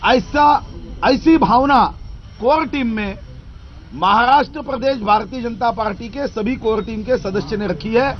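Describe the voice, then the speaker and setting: A male party spokesman makes a statement in a very high voice, emphatic and drawn out. His sound is a little dull, with faint music beneath. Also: a little slow. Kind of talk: press statement.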